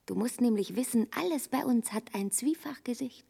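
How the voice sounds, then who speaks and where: A flirty troll princess. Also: female voice, a little high, moderate pace, vocal fry, warm tone, breathy edge. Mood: boastful. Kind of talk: classic radio play acting.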